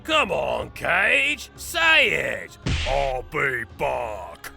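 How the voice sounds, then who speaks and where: A man voicing a taunting thuggish brawler in a comic dub, with a gravelly, harsh voice and a jeering manner. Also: medium pitch, sing-song, drawn-out words, sharp tone, raised voice, faint music behind, full character voice. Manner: jeering, aggressive. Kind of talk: amateur comic dub voice acting.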